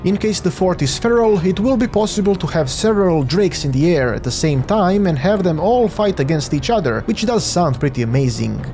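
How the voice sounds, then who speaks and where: A man with a fairly high voice narrates a gaming video in a scripted, explanatory way. Soft music sits behind his words. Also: expressive, a little fast, hardly any pauses. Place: voice-over recording. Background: faint music.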